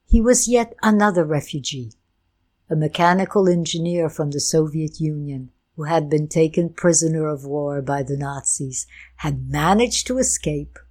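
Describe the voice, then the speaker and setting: An older woman whose first language is Ukrainian, telling her family's story in a slow, deliberate, reflective way. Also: sits low. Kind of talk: personal storytelling talk.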